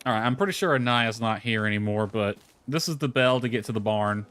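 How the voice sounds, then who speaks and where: A man's voice: lowish voice, fairly quick, a bit bright, clean tone. A man giving casual, rambling livestream commentary as he plays a game, thinking aloud as he goes. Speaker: game streamer. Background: background music.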